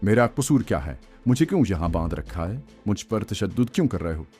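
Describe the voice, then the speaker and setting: A man's voice: on the low side, very animated, fairly quick, warm tone. A man voicing a tormented captive in an audio story, tearful, helpless and begging for mercy. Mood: tearful pleading. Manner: tearful, helpless, whimpering delivery. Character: pleading tormented male captive feigning innocence. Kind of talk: audiobook character acting. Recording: faint music bed under voice.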